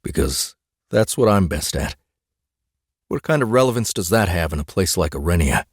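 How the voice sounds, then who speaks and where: A man's voice: deep voice, lively intonation, moderate pace, slightly rough, dry recording, clean studio sound. A man voicing a bookish, earnest, mildly exasperated husband in a fantasy audiobook. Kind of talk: fantasy audiobook character dialogue.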